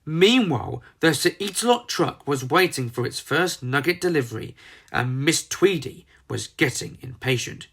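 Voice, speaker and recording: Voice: mid-range voice, expressive, normal speed, fairly bright tone, slightly rough. A storyteller narrating a children's book in a theatrical, emphatic manner. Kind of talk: dramatised storybook narration with character voices.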